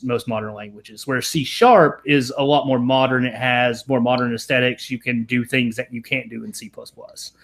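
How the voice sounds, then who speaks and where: A man's voice: medium pitch, fairly bright tone. A young Southern man from Tennessee speaks as a podcast guest in a mid-range voice. He rambles through his thoughts, swears casually and pokes fun at himself. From American South, Tennessee. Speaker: guest, programmer.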